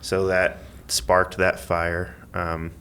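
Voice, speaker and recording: A man chatting casually as a podcast guest, in a halting, rambling way as he thinks aloud. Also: low voice, completely flat delivery, sharp tone, clear, resonant tone. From Missouri, United States. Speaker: podcast guest, amateur athlete and school IT worker. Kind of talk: casual interview chat.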